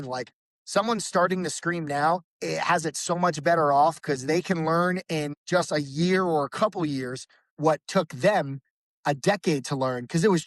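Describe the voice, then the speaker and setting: A man with a fairly high voice talks as a podcast guest in a loose, rambling way. He keeps restarting his sentences and fills gaps with "like". The sound is dry and close, with no room echo. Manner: rambling, with frequent restarts and fillers. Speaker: podcast guest, band vocalist. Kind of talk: casual conversation.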